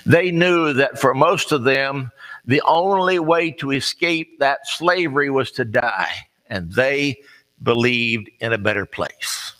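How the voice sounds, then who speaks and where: An American man delivers a sermon with a bright, forceful voice at a middling pitch. He talks in a folksy, plain-spoken way, telling stories and leaving deliberate pauses. His words ring with the long reverb of a large hall. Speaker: preacher. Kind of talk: sermon with conversational storytelling. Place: large reverberant room, preaching to a congregation.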